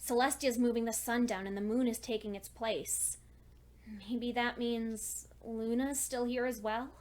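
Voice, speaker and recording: Casting: woman to play lonely bookish female pony for dark fan fiction audio, high voice, earnest and anxious, deliberate dramatic pauses. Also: steady tone, close to an everyday voice. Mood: weary, uneasy. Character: lonely studious female pony, anxious diarist clinging to hope. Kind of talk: dramatic fan fiction reading, diary entries.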